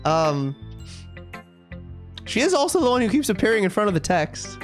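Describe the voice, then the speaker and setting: Animated game streamer reading aloud. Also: medium pitch, normal speed. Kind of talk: casual live game commentary, reading text aloud. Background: music.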